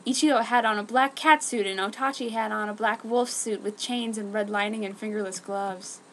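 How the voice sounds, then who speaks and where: A woman's voice: medium pitch, moderate pace, a little hiss or hum. A woman reading fan fiction aloud in an exaggerated, mock-serious dramatic style. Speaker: amateur fan fiction reader.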